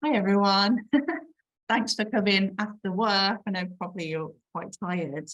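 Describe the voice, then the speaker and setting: A woman's voice: medium pitch, fairly even intonation, unhurried, a bit bright, muffled recording. A woman in her forties with a Birmingham accent, chatting casually as an author on a video call, with frequent ums and restarts. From England. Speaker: children's author. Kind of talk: casual online talk.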